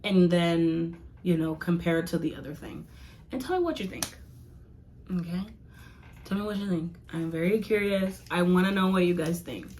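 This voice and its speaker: A young woman chatting casually to her viewers in a rambling review, laughing often and filling pauses with "like" and "um". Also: lowish voice, darkish tone, slight background noise. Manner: chatty, giggly, rambling with many fillers. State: losing her voice. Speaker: vlogger reviewing comics.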